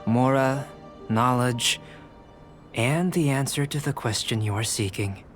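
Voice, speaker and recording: An actor playing a calm, curious young male alchemist who talks in a measured, formal, clinical way, in a game. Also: medium pitch, natural voice. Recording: game audio with clear music behind.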